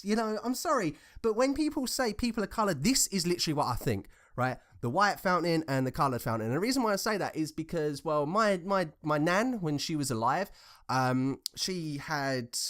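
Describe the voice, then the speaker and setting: A man's voice: a little high, lively intonation, normal speed, a bit bright. A young man from England with a southern English accent, giving casual ranting commentary in an informal, sarcastic, sweary way. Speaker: commentator reacting to social media posts.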